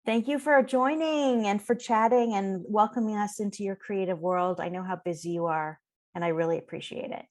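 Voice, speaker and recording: A woman's voice: mid-range voice, normal speed. A woman hosting a podcast, reading an introduction and then chatting with her guest in an upbeat way. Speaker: podcast host. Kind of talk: scripted intro then interview chat.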